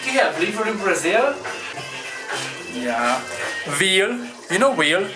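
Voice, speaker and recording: A man's voice: high voice, very animated, slow pace, music in the background, poor audio. A young Hungarian man with a Hungarian accent, chatting casually in English for a vlog outdoors in the cold, laid-back and swearing freely.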